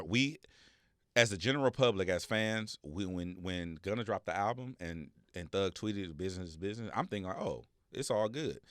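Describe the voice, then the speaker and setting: Lower-pitched American man, reflective, dry sound. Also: fairly bright tone, no echo. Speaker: talk show co-host. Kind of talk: casual conversational commentary.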